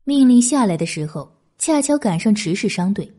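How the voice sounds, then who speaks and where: A woman's voice: medium pitch, animated, moderate pace, warm tone, slightly rough. A woman narrating a historical novel in scripted audiobook style. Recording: background music. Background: music.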